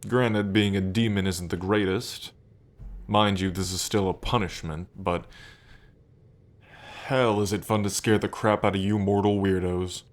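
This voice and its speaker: A man's voice: sits low, fairly even intonation, unhurried. A man playing a smug, teasing demon prince of pride in an ASMR roleplay, drawling and playfully taunting the listener.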